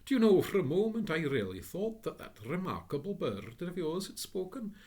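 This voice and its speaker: A man voicing a flustered, polite elderly office gentleman who talks in a fussy, high, slow and bewildered way. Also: very animated, husky, exaggerated voice.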